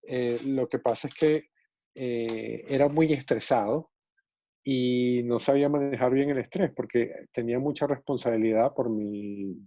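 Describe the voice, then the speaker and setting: A man's voice: mid-range voice, no room sound. Venezuelan man chatting on a video call. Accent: Venezuelan Spanish. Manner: relaxed, many fillers and pauses. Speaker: guest. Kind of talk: casual storytelling on a video call. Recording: muffled video call audio.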